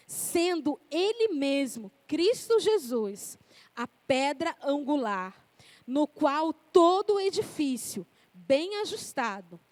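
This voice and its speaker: A woman's voice: high-pitched, no room sound. A woman in her forties preaching a sermon, reading scripture and then telling personal stories in a conversational way. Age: 40s.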